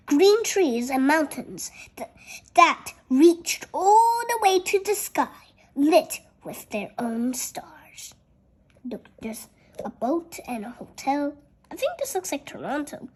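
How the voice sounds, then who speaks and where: A storyteller reading a picture book aloud in a careful, halting way, with childlike, chatty asides about the pictures. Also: high-pitched, darkish tone.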